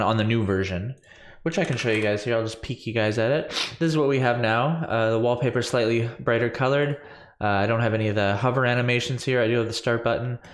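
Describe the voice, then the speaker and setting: Stuffy man in his thirties, lowish voice, rambling coder streaming from a roomy home setup. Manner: rambling, filler-heavy, self-correcting. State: stuffy from allergies. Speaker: coding livestream host. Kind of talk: casual screen-share coding walkthrough. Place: small home room with purple lights. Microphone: large studio condenser mic on boom arm.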